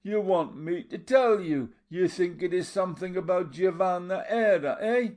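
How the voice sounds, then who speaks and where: A coarse, half-witted young woman from an audiobook taunts in a rough, raised voice, as a male narrator puts her on. Her lines come out loud and pushy, climbing well above a man's usual register. She sounds wild and a little unhinged. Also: a little high, animated, slow pace, pitched above the performer's own voice. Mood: mocking. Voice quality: rough, jeering.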